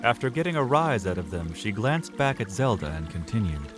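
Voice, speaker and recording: A male narrator with a fairly low voice and lively, swinging intonation. He delivers scripted fantasy storytelling in a dramatic style, with music clearly playing beneath him. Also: a little fast. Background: music under the voice.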